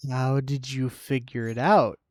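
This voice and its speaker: A woman's voice: rumbling low, steady tone, unhurried, a little mellow, studio quality. A woman streaming a game, reacting casually with playful, joking remarks. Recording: roomy echo with game music.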